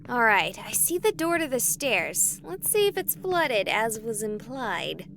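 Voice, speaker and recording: A woman's voice: a little high, big pitch swings, a little slow, vocal fry, heavy background noise, exaggerated voice. A young woman playing a jittery livestreamer in a visual novel game, with a dramatic, exasperated delivery. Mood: uneasy narrating. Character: jittery young female livestreamer exploring an abandoned hospital.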